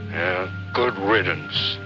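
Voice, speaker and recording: Doomed soldier, low pitch, self-mocking, rambling to himself, old war film drama, prominent music. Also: male voice, slow. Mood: anguished, bitter. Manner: muttering, self-mocking, swinging interior monologue.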